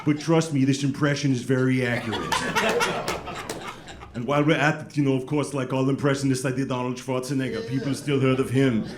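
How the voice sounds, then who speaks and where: A man's voice: mid-range voice, fairly even intonation, fairly quick. A middle-aged man doing a stand-up set, talking to the crowd in a dry, self-deprecating, chatty way. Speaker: stand-up comedian. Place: comedy club stage with a laughing audience. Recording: roomy club sound with loud background.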